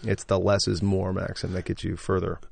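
A man, a stage actor and singer, talking enthusiastically as a guest in an interview, with a loud, braying voice. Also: sits low, completely flat delivery, a little fast, gravelly, husky. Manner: enthusiastic, effusive. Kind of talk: casual interview talk.

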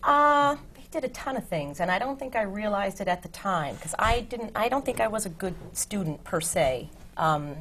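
A woman speaking as an actress on a panel, hesitant and thoughtful, with many pauses. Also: mid-range voice, expressive, fairly bright tone, slight background noise. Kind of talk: panel discussion.

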